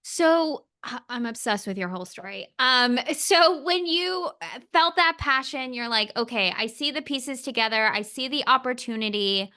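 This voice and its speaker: Bright, crisp-voiced enthusiastic woman host. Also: on the high side, animated, normal speed. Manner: enthusiastic, effusive, rambling questions. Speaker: interview host. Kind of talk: conversational interview.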